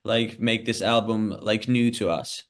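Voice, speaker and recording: A man's voice: lowish voice, steady tone, measured pace. A man from Finland with a Finnish accent, a musician guest on a podcast, speaking English as a second language and laying out his points step by step with lots of "like" fillers. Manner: thoughtful, lists points with many "like" fillers. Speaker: band member, guest. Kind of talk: podcast interview answers.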